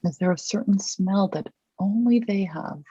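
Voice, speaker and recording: A person leading a guided visualization, asking gentle, measured questions with long pauses between them. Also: medium pitch, breathy edge, dry recording, dull audio.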